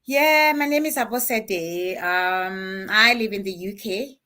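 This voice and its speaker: A woman's voice: medium pitch, slow pace, pushed and bright. A woman from Nigeria, a guest on a live online show, answering casually and without hurry between small laughs.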